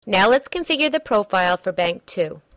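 A woman reading a scripted software demo narration in a measured, instructional way. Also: medium pitch, lively intonation, dry recording.

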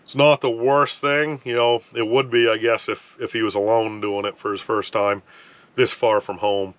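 A man's voice: fairly low pitch, normal speed, dry recording. A man in his thirties talking casually to camera from inside an ice fishing tent, rambling with restarts and filler words. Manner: relaxed, rambling, frequent restarts and "you know". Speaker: fishing vlogger. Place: ice fishing tent on a frozen lake, wind outside.

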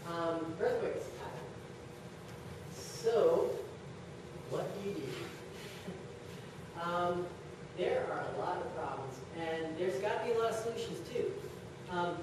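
A young man gives a relaxed lecture full of fillers and wry asides. He sounds distant from the mic in a very echoey hall, with steady background noise. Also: fairly high pitch. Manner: loose, filler-heavy, wry and joking. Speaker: presenter giving a slideshow talk. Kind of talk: casual lecture.

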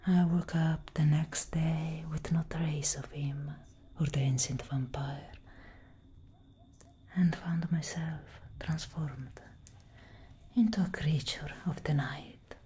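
A woman's voice: sits low, steady tone, warm tone, full character voice. Whispering elder vampire sister, played by a woman. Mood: mournful, resolute. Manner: breathy, slow, intimate, dramatic. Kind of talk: soft-spoken whispered ASMR roleplay.

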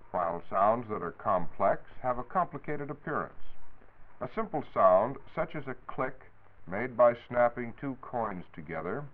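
An American man giving a formal, precise scripted explanation as a 1940s radio science reporter. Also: medium pitch. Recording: tinny, phone-like 1940s radio recording.

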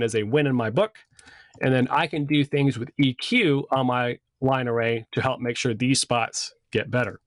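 A man's voice: medium pitch, no echo. Guy teaching stuff in a chatty informal way, mid voice, really dry sound. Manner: conversational, informal. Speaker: sound system design instructor. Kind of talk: casual explanatory lesson with slides.

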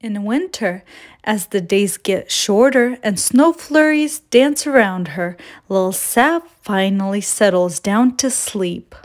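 Female children's storyteller, medium pitch, unhurried and deliberate picture-book narration. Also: animated. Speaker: children's storytime reader and host. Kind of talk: scripted read-aloud of a children's picture book.

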